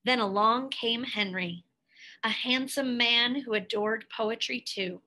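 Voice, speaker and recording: Female storybook reader, higher-pitched, very measured pace, careful and expressive delivery of scripted narration. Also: low-quality recording. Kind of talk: scripted picture-book read-aloud.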